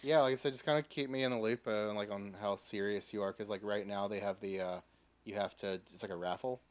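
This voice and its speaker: A man's voice: on the low side, steady tone, fast talker, clear, resonant tone. A man speaking as a podcast guest, informal and chatty, peppering his talk with "like". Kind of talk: casual podcast chat.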